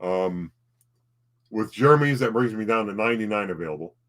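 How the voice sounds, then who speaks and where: A man co-hosting a casual home livestream, talking in halting bursts and often repeating his words. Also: on the low side, lively intonation, fairly bright tone. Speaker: livestream co-host, collectibles seller.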